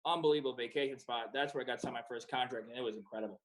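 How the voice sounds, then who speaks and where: A man in his twenties, a professional soccer player who grew up in Croatia and the United States, answering in a relaxed way with many fillers. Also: mid-range voice, racing. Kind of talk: casual interview answers.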